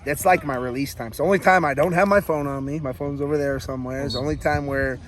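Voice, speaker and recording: A man of about forty, a high school coach, giving casual interview answers. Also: fairly even intonation, quick pace, slight background noise. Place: outdoors by a sports field. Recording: outdoor field recording with background voices.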